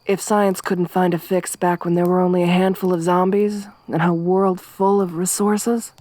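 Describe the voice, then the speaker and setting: A woman playing a soft-spoken tough survivor girl. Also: lowish voice, flat delivery, normal speed, no echo, natural voice. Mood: low-key, wry. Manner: dry, teasing, gruff. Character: tough, wry young woman wasteland survivor. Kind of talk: ASMR roleplay.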